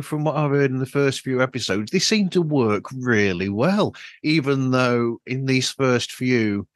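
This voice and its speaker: A man's voice: medium pitch, dry recording, poor audio. A British man hosting a casual interview podcast, phrasing things in a hesitant, roundabout way. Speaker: podcast host.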